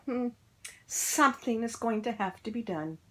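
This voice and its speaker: Lady doing a sweet soft-spoken teacher voice, coaxing, storybook. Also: fairly high pitch, expressive, normal speed, noisy background, voice pushed up, natural voice. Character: sweet, gentle young woman teacher.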